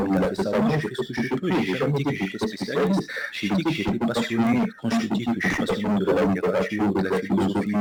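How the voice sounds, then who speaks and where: Defensive low-voiced male host. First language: not French. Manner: defensive, earnest, laughs it off. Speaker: stream host. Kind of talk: live stream debate. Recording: echoey room with loud crowd noise.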